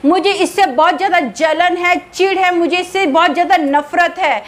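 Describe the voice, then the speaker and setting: A woman voicing the jealous ghost of a dead young wife, high-pitched, bitter and accusing. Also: normal speed.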